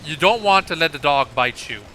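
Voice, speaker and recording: A man's voice: a little high, expressive, normal speed, bright, forceful tone. A man giving casual, explanatory commentary over a video game walkthrough in an informal way. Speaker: gameplay commentator. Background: faint game music.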